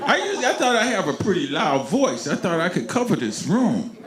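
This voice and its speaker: An older man from St. Louis, speaking on an alumni panel with many pauses and restarts. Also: high-pitched, huge pitch swings, a loud crowd, heavy background noise. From North St. Louis, Missouri. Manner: halting, many ums and restarts. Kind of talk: reminiscing on a panel. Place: large room at a panel event. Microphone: handheld microphone.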